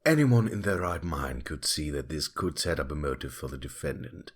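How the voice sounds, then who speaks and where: A man playing a cold, haughty, impatient prosecutor who speaks formally in a husky voice, in an audio drama. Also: very deep voice, expressive, moderate pace. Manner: formal, disdainful.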